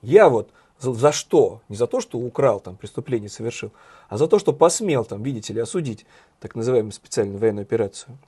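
A man with a hoarse voice co-hosting a satirical news chat, talking in a sardonic, ironic way. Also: medium pitch, lively intonation, a little fast, warm tone. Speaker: co-host, journalist.

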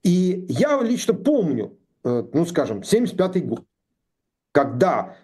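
A man in his sixties talking politics, indignant and sarcastic, with halting speech full of pauses and fillers. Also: high-pitched, sing-song, mellow, husky. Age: 60s. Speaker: political commentator. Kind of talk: political discussion.

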